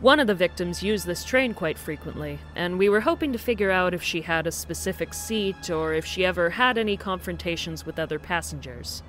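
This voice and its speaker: A woman playing a brisk, matter-of-fact police detective in an audio drama. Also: fairly low pitch, lively intonation, fairly bright tone, faint music behind, low-quality recording. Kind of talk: naturalistic audio drama acting.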